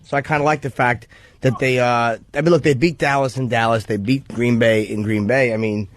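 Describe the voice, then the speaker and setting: A man's voice: mid-range voice, steady tone, brisk. A man co-hosting a radio show, bantering casually about sports.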